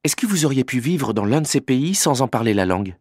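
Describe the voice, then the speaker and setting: A man's voice: mid-range voice, big pitch swings, brisk, a little mellow, husky, no room sound, studio quality. Polite young male interviewer. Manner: polite, formal questioning. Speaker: journalism student interviewer. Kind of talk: scripted interview questions.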